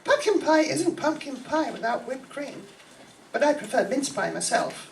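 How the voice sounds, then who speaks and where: A woman's voice: high-pitched, sing-song, moderate pace, vocal fry, distant mic, exaggerated voice. A woman playing a fussy, sweet, self-conscious aunt with a crush in an old-time radio sitcom. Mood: homely.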